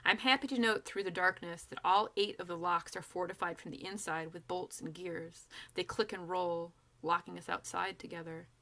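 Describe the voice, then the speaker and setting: A woman's voice: lowish voice, normal speed, few pauses. A woman reading a first-person novel aloud as an author, narrating expressively and acting out the dialogue. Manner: animated, acts out dialogue.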